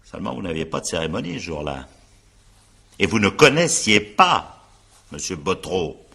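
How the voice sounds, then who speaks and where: A man's voice: deep voice, big pitch swings, moderate pace, gravelly, sharp tone, slightly rough, full character voice. A male actor playing a shrewd, sardonic examining magistrate in a radio drama, questioning with probing irony and muttered asides. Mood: probing, ironic. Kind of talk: French radio drama acting, naturalistic interrogation.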